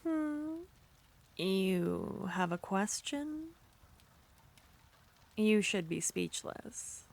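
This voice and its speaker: A woman performing an ASMR roleplay as a jealous, possessive witch, speaking softly and often whispering. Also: medium pitch, animated, extremely slow, vocal fry, slight background noise. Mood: flirty.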